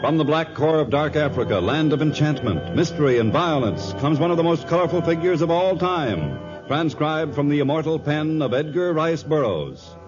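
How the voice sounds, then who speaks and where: A man's voice: fairly high pitch, expressive, normal speed, few pauses. A man announcing a 1950s radio serial in a grand, scripted introductory style. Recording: dull vintage radio, music behind.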